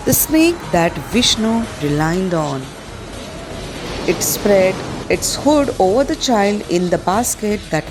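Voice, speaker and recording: A woman with an Indian accent narrating a school play as dramatic scripted storytelling, over music. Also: medium pitch. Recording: music behind the voice.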